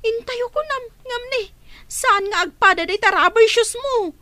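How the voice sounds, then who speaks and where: A woman acting a brisk, practical migrant worker urging her companion on in an Ilocano radio drama. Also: very high voice, pushed and bright, dry recording. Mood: hurried. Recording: clear background noise.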